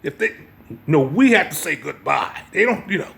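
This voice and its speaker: A man with a gravelly voice giving an informal religious lecture, part reading and part commentary, emphatic and repeating his phrases to press the point. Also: a little high, animated, moderate pace, a bit bright. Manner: emphatic, repeats phrases, checks listener's understanding. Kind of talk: informal religious lecture mixing read-aloud passages and commentary.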